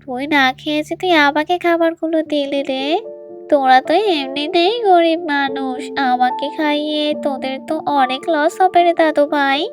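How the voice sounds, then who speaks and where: Cartoon granny, played by a woman: elderly, plaintive, kindly, extremely high pitch, music clearly behind. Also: steady tone, lightly acted. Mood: worried. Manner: plaintive, coaxing, drawn-out endearments. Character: hungry, weepy, kindly old village granny. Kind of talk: children's cartoon voice acting. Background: children's music.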